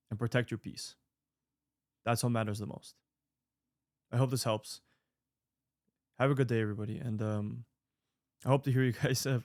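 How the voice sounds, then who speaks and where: A man's voice: mid-range voice, darkish tone, no echo, clean studio sound. A man in his late twenties giving casual, earnest advice to viewers, rambling with fillers and restarts. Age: 20s. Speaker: online creator answering viewer mail.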